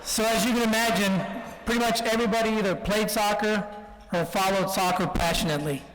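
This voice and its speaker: A man's voice: high-pitched, fairly even intonation, a little fast, fairly bright tone. A man in his forties from Colombia who grew up partly in Texas, giving an informal guest speech full of anecdotes and self-deprecating jokes. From Colombia, then Texas from childhood. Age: 40s. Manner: joking, anecdotal storytelling. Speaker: guest speaker. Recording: reverberant hall, speech over PA.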